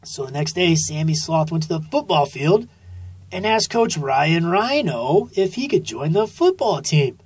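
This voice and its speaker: A woman narrating a children's story expressively and deliberately, drawing out key phrases, recorded in a studio. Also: low voice, big pitch swings, darkish tone, slightly rough. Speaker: author reading own children's book.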